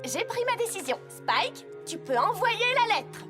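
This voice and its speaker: Brief: earnest young girl pony student, very high swooping voice, expressive delivery, loud music underneath. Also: measured pace, heavily acted. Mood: earnest, resolute. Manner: emphatic, expressive. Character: earnest young female pony student, conscientious and easily overwhelmed. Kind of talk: French cartoon dub acting. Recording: loud music behind the dialogue.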